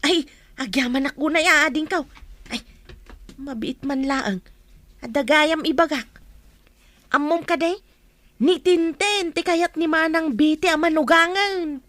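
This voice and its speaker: A woman's voice: high-pitched, unhurried, long pauses, fairly bright tone, no room sound. A woman playing a nosy, chatty village neighbour in an Ilocano comedy radio drama, exclaiming and probing. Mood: gossipy.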